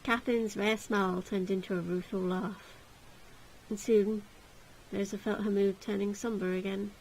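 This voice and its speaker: A woman hosting a game playthrough, reading a visual novel aloud with expressive, theatrical narration. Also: medium pitch, moderate pace, slight background noise, poor audio.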